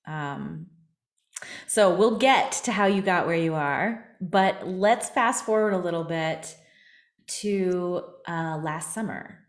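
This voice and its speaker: A woman's voice: on the low side, takes it slowly, roomy sound. A woman hosting an interview as a vocal coach, asking encouraging questions in casual chat.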